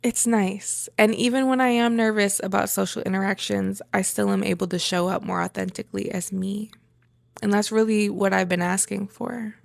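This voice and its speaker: A woman giving a reflective personal monologue in halting phrases, with pauses and emphatic repetition. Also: mid-range voice, steady tone, gravelly, no echo. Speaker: vlogger sharing a personal journey.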